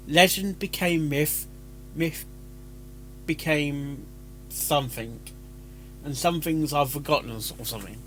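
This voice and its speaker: A man giving casual, rambling commentary, haltingly repeating and restarting his phrases. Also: fairly high pitch, sharp tone, poor audio. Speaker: reaction video host reviewing fan fiction.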